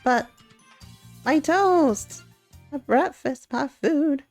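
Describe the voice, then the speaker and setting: A shy young schoolboy from a visual novel, played by a woman, mild and hesitant. The voice is soft and mellow and easily flustered, with faint music behind. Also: high voice, extremely slow, voice pushed up, exaggerated voice.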